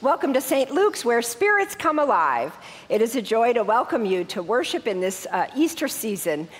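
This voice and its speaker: A middle-aged woman pastor with a head cold and a congested voice, welcoming the congregation conversationally and then leading measured prayers. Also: a little high, sing-song. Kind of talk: church welcome and liturgical prayer. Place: large church sanctuary. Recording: long reverb of a church hall.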